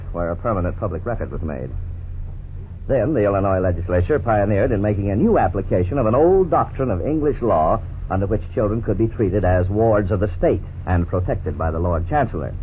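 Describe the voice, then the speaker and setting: An actor playing an earnest, authoritative family lawyer who explains things courteously in a 1950s radio drama. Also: male voice, lowish voice, close to an everyday voice. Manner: measured, didactic, courteous. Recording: scratchy, tinny 1950s radio recording.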